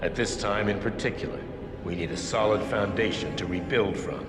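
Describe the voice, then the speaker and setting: An actor playing a haughty, menacing older power broker in a video game cutscene, with a pompous, scornful, formal manner. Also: male voice, fairly low pitch, normal speed, natural voice. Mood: stern, measured. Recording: echoey hall, loud music behind.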